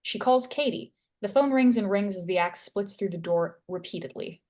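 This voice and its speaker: Woman, script narrator, middle pitch, reading scene directions. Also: normal speed, darkish tone.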